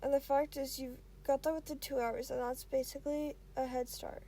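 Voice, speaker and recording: A woman reads as a calm young male student tutoring a friend in a read-aloud story. The delivery is restrained and matter-of-fact, reassuring but blunt, with a mellow tone. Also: high-pitched, moderate pace.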